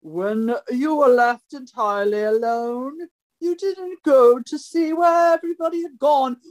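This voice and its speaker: A woman's voice: sits high, measured pace, a little mellow. A woman playing an imperious, theatrical great detective with a pompous, declamatory delivery in an old-time radio mystery parody. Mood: confident, deductive.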